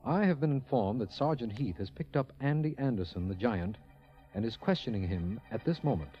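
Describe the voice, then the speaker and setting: A man playing a brisk, official district attorney in a 1940s radio drama, speaking formally. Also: mid-range voice, animated, soft background music, close to an everyday voice. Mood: formal, urgent. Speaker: district attorney and recap narrator. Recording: muffled 1940s radio recording.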